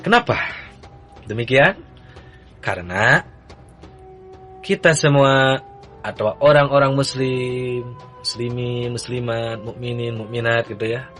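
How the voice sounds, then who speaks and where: A man teaching a religious text to beginners in a relaxed, easygoing manner. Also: mid-range voice, slow pace, long pauses, soft background music. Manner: relaxed, chanting the text lines in a sung recitation. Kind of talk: kitab reading lesson.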